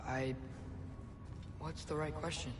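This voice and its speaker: A man's voice: on the high side, steady tone, slow. An actor playing an earnest, hesitant teenage boy in a fantasy video game, full of questions. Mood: troubled, unsure. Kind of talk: video game character dialogue. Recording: noisy game audio with long reverb.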